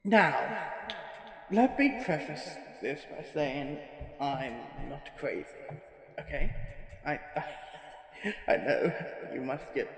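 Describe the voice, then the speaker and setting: A man's voice: on the high side, big pitch swings, takes it slowly, pauses often, a bit bright, exaggerated voice. A man playing an anxious, defensive witness giving a stammering, insistent statement in a parody audio drama. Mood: nervous, defensive. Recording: echoey room with long reverb.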